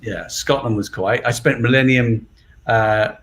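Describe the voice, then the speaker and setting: A man's voice: medium pitch, a little slow, fairly bright tone. A middle-aged man from England chatting casually as a guest on a live stream, rambling and laughing easily.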